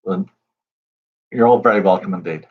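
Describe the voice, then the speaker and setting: A man's voice: lowish voice, very animated, moderate pace, slightly rough. A man hosting a pub quiz livestream, chatting and greeting viewers by name. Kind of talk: casual livestream chat. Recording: dull lo-fi stream audio.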